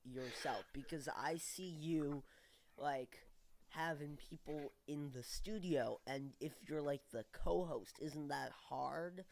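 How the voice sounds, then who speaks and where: A man with a gravel-edged voice hosts a casual livestream chat at an unhurried pace. He sounds congested from a cold, yet stays upbeat and curious as he questions his guest. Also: fairly high pitch. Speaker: livestream host. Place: home streaming studio.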